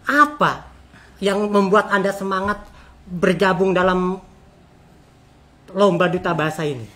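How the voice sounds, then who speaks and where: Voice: mid-range voice, measured pace, sharp tone. An interviewer hosting a conversational chat, often repeating and affirming what guests say. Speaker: interviewer, host.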